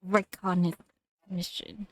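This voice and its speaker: A woman streaming a game with casual commentary. Her voice is on the low side and very slow, musing and halting. There is clear noise in the background. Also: little pitch movement.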